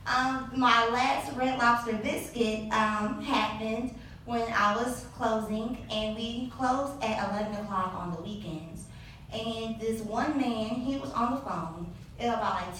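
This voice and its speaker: A young adult woman telling a personal story live on stage, animated and sassy with laughing asides. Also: on the high side, measured pace, slight background noise. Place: live event venue. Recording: echoey hall, distant mic.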